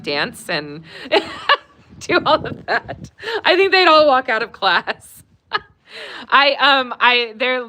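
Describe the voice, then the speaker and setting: A woman hosting a live Q&A stream, chatting casually with many fillers, laughing often and reading viewer comments aloud. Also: mid-range voice. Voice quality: warm, conversational, many fillers.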